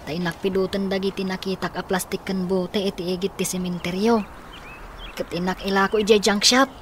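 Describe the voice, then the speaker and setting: A woman's voice: medium pitch, flat delivery, fairly quick, slight background noise, lightly acted. A woman playing a responsible young boy, a protective older brother, in a radio drama, speaking earnestly. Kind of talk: Ilocano radio drama acting.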